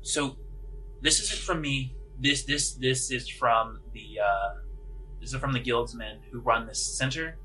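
A man's voice: medium pitch, unhurried, bright, forceful tone, faint music behind, rough recording. A man voicing a nervous, deferential prison guard who stammers and speaks with hesitant, overly polite eagerness in a tabletop game. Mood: polite, businesslike.

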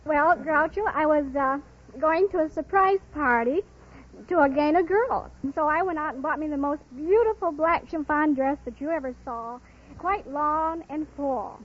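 High-voiced chatty woman on old radio. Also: normal speed. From Michigan. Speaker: quiz contestant, housewife. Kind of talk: chatty unscripted storytelling. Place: broadcast studio with live audience. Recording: muffled old radio, faint audience.

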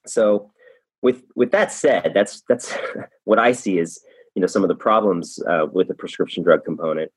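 A man's voice: low voice, moderate pace, slightly rough, no echo. A man hosting a podcast interview, upbeat and chatty, often repeating words as he talks. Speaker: podcast host.

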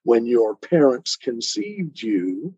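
A man speaking as an interview guest, a poet reflectively explaining his work in deliberate, earnest, preacherly phrases. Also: medium pitch, very animated, mellow, husky, no room sound. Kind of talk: reflective explanation of a poem in conversation. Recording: dull, lo-fi voice recording.

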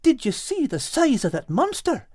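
A man voicing a timid but boastful male cat, excited and comic, in an audiobook. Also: very high-pitched, normal speed, no echo, studio quality, pitched above the performer's own voice, heavily acted.

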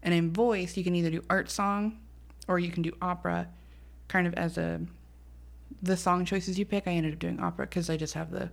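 A woman in her thirties, a singer-songwriter, chatting casually as a podcast guest, self-deprecating and quick to laugh. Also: on the low side, steady tone, creaky.

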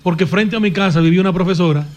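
High-pitched Dominican man telling stories. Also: racing. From Dominican Republic, grew up in the small town of Castillo. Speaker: radio show guest recounting youth. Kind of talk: casual anecdotal storytelling.

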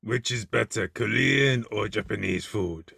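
A man hosting a podcast, asking questions in a dry, deadpan way. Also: fairly low pitch, measured pace, gravelly, slightly rough, no echo. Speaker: podcast host. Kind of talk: casual interview.

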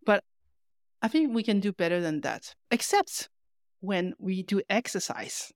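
A woman's voice: medium pitch, lively intonation, measured pace, dry recording. Woman chatting, close and dry. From lives in Paris. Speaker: podcast co-host. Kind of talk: casual conversation.

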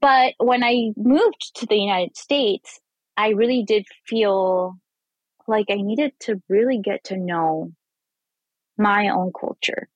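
A woman from Mexico, a vegan chef and food blogger, telling stories in a casual interview, animated and laughing, acting out what people said. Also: medium pitch, no echo, low-quality recording. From Mexico, raised on the Texas border. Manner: animated storyteller who acts out quoted voices and laughs often.

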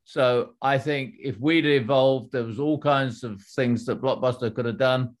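Middle-aged guy, ex-exec answering questions, steady and explanatory, restarts a lot. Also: mid-range voice. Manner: measured, explanatory, with frequent restarts. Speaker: former executive, author. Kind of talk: interview answers.

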